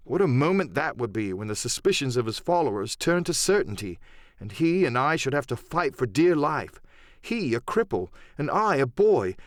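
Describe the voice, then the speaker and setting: A man's voice: medium pitch, dry recording, clean studio sound. A man narrating an adventure novel in a dramatic, scripted audiobook style.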